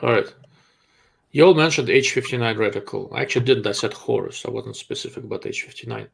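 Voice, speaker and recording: A man with a Russian accent hosts a live question-and-answer stream, giving blunt, dry answers. His mid-pitched voice has a gravelly edge. He talks plainly and is quick to call ideas out. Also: a bit bright. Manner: blunt, dryly sarcastic. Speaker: host.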